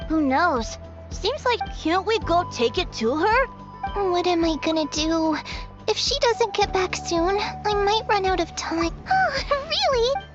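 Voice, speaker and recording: A tiny fairy girl with an extremely high, squeaky voice that leaps around wildly. She is excitable and childlike, an expressive video game companion, heard slightly muffled over music. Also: slow pace, full character voice. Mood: anxious. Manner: exclamatory, animated, expressive. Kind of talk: video game character dialogue.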